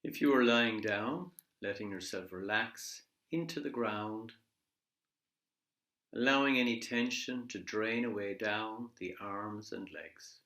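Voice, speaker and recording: Man, fairly low voice, meditation guide, measured and gentle instruction, pauses between phrases, noticeable room echo. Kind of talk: guided body scan meditation. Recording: echoey room.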